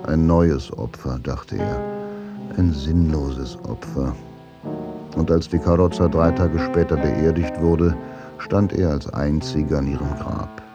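Deep male radio drama narrator. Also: a little slow. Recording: music behind the voice.

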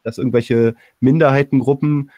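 A man's voice: medium pitch, steady tone, normal speed, a crowd in the background. A man co-hosting a casual panel discussion, speaking in a tentative, hedging way. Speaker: podcast co-host.

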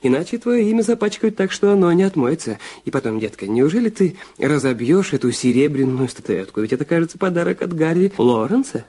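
A man's voice: a little high, animated, brisk, hardly any pauses, dark tone, husky. A man playing a small, dapper, irritated film publicity chief in a Russian audio drama, nagging and cajoling in a patronizing way. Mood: anxious, cajoling.

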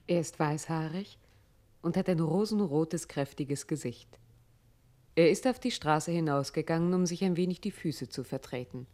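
A woman's voice: low-pitched, moderate pace, warm tone, lightly acted. A woman giving scripted narration in a radio play.

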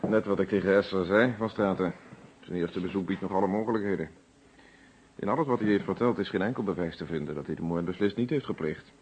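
A man playing a composed, methodical police inspector in a Dutch radio play, speaking in a formal, measured way. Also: low-pitched, darkish tone, slight background noise, natural voice. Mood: businesslike, reflective. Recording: dull lo-fi old radio drama recording.

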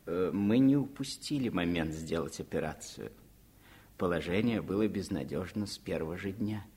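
Deep, husky, grave doctor. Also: male voice, expressive, moderate pace, a little mellow. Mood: grave, resigned. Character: grave local doctor, resigned and formal. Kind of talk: Soviet radio play acting.

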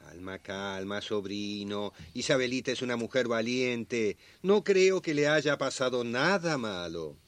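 An actor playing a genial, gallant, teasing uncle in a radio family drama, with a Rioplatense accent. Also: male voice, medium pitch, lively intonation, measured pace, hardly any pauses, slight background noise, natural voice. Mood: soothing. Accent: Argentine, Rioplatense.

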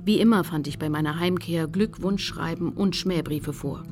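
Radio drama role for an actress: resolute female activist, lower register, sober literary telling over music. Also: close to an everyday voice. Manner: measured, factual, literary reading. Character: determined woman activist recounting her memoir. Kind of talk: scripted documentary radio drama narration.

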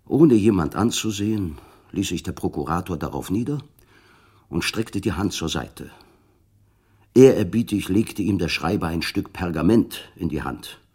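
A man with a deep voice narrates a literary radio drama. The tone has a marked husky grain. The delivery is scripted, measured and solemn. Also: darkish tone.

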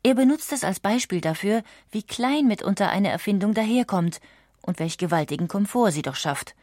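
A woman reading scripted documentary narration in a measured, articulate way. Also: mid-range voice, animated, darkish tone, no room sound, studio quality. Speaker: audiobook narrator.

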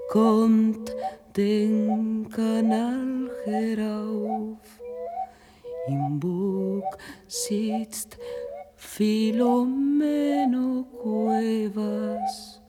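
A woman narrating a radio play in a deliberate, dramatic way, breaking sentences into short phrases. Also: medium pitch, over music. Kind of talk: slow, dramatic scripted narration with long pauses.

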